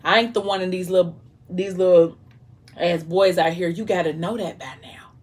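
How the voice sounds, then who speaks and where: Woman acting out a hushed, earnest, devoted husband. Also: fairly low pitch, fairly even intonation, moderate pace, lightly acted. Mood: firm, hushed. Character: devoted, reassuring husband, calm and firm.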